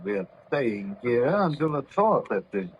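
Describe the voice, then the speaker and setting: An actor playing a steady, responsible sea captain in an old radio drama, formal, courteous and commanding. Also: male voice, mid-range voice, unhurried. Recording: muffled, noisy old radio recording.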